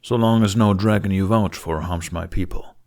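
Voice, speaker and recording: A man playing a stern middle-aged Viking chief in an audiobook, with a gruff, measured and commanding delivery. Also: deep voice, fairly even intonation, darkish tone. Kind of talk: audiobook character acting.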